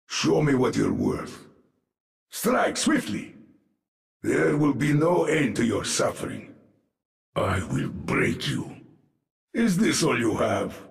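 An actor playing a grim, sardonic undead military commander in a video game, with a Russian accent and deliberate, dramatic delivery. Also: male voice, mid-range voice, creaky, full character voice. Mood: commanding.

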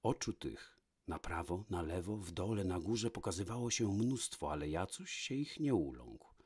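A man's voice: sits low, animated, normal speed, darkish tone, slightly rough. A man reading a fairy tale as a storyteller, with dramatic, varied delivery. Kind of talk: expressive fairy-tale narration, read from a book.

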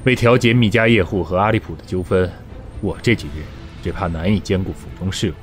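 A man plays a grave lord burdened with state affairs in a Chinese costume radio drama. He is very deep-voiced, composed and measured, with light music behind him. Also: lightly acted. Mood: grave, weighing.

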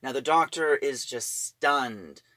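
Bright-voiced man recapping a story. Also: mid-range voice, slow pace, no echo. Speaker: reviewer.

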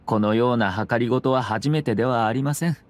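A man playing a courteous, shrewd young clan lord in an anime video game, speaking in polite, measured, formal phrases. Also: medium pitch, darkish tone, close to an everyday voice. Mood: calm, shrewd.

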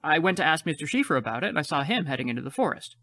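A man's voice: fairly high pitch, sing-song, racing, pushed and bright, no echo, heavily acted. A man playing a frightened but defiant, clever child in an audio play. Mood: earnest explaining.

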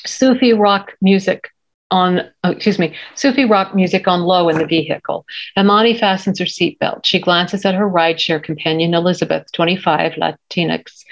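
A middle-aged woman reading screenplay stage directions as narrator on a video call. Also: fairly low pitch. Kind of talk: scripted narration at a table read. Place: indoor home room, video call. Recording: dull video-call audio.

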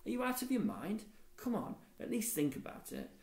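Guy putting on a cheery schoolgirl, kids book, pushy in a fun way, raised pitch, roomy. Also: moderate pace, vocal fry, heavily acted. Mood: persuasive. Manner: bright, animated. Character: upbeat, persuasive schoolgirl, kind and mischievous. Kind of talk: storybook character dialogue. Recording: echoey room.

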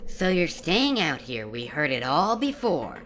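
A woman's voice: low voice, very animated, a little slow, fairly bright tone, a little hiss or hum, exaggerated voice. A woman voicing a sensible, skeptical fairy companion with a dry, matter-of-fact manner in a game parody. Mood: dryly skeptical. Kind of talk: fan-dub game parody acting.

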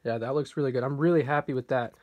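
A man casually narrating a card pack opening off camera, reading out card names, a little hoarse. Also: mid-range voice, quick pace, muffled recording. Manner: relaxed, reads card names off one by one. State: slightly hoarse, clearing throat. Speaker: card collector opening packs. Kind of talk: casual unboxing commentary. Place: indoors at a table.

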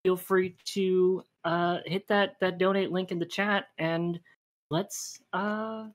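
A woman's voice: on the low side, fairly even intonation, takes it slowly. A woman hosting a casual livestream game show, explaining the rules at length with many fillers.

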